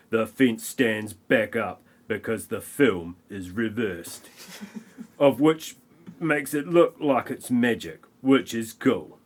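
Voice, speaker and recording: A man narrating an amateur audio play, reading a scripted film parody with wry comic asides. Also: medium pitch.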